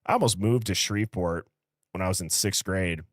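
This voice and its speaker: Low-voiced American man, casual livestream host. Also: a little fast, no echo, studio quality. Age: early 30s. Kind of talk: casual live-stream chat, reading out viewer comments.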